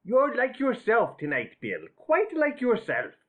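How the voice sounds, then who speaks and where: A woman reading an audiobook, voicing a sly, fawning old man who speaks slowly and loudly. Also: fairly high pitch, wildly swooping pitch, narrow, tinny audio, full character voice. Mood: wheedling. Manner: wheedling, slow. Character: wheedling old male criminal, sly and fawning.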